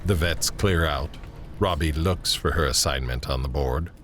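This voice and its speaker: A man narrating an audio drama in measured, descriptive scripted prose. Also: a bass voice, fairly bright tone, soft background music. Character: male narrator setting scenes, measured and descriptive. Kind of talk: scripted present-tense scene narration.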